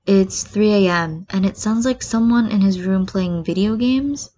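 Woman as a quiet deadpan teenage boy, muffled. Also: medium pitch, a little slow, slight background noise, natural voice. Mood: quiet, puzzled. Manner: quiet, flat delivery.

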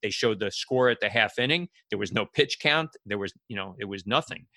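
A middle-aged man hosts an interview in a fairly low voice with a bright, forceful edge. He tells casual anecdotes in a halting, meandering way. The recording is close and dry. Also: animated, dry recording. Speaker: interview host.

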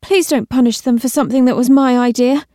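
A woman as an earnest young trainee, lifted pitch. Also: expressive, moderate pace, mellow, no echo, clean studio sound, lightly acted. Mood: pleading.

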